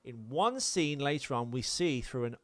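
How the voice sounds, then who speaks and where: A man co-hosts a casual film podcast. His voice is bright and forceful, recorded dry with very little room. He talks in a rambling, jokey way, as if working through trivia notes. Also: medium pitch, lively intonation, normal speed, no room sound. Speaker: podcast co-host.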